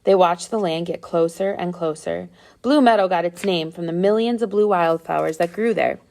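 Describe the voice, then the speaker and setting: A woman's voice: fairly low pitch, normal speed, gravelly, a little mellow. A woman reading a children's adventure story aloud as scripted narration. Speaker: storybook reader.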